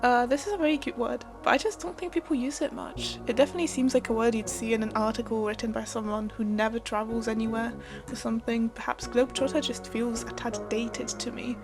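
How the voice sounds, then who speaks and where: Young polish woman doing a wry scripted voiceover, bit high pitched, music playing under it. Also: steady tone, quick pace. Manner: thoughtful, wry, lightly self-deprecating. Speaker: art and language vlogger. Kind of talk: scripted voice-over narration. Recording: voice-over with clear background music.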